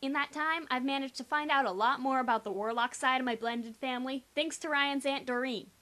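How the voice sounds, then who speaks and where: A woman's voice: high voice, brisk, bright, forceful tone. A young adult woman giving a sarcastic, theatrical reading of a first-person teen story, with exaggerated emphasis. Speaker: amateur reader.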